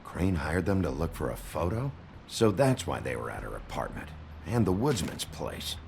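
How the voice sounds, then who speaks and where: Very deep, gravelly sheriff. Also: male voice, big pitch swings, normal speed, a little mellow, a little hiss or hum, exaggerated voice. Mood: grim, musing. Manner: terse, blunt. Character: gruff, weary male sheriff detective, terse and suspicious. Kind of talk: video game voice acting. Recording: music behind the voice.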